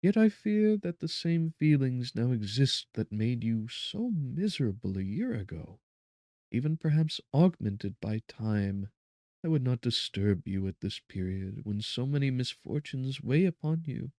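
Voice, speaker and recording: A man voicing a gentle, anxious young woman reading out her tender, earnest letter in an audiobook. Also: mid-range voice, animated, a little slow, no echo, clean studio sound, pitched above the performer's own voice, close to an everyday voice. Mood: tender worry.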